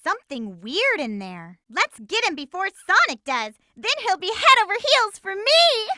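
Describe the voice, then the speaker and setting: Game role: young lovestruck hedgehog girl. Spirited and emphatic, lively swooping pitch, close dry sound. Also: moderate pace, dry recording, heavily acted. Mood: chatty, determined. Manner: perky, emphatic, exclamatory delivery. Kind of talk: video game character voice lines.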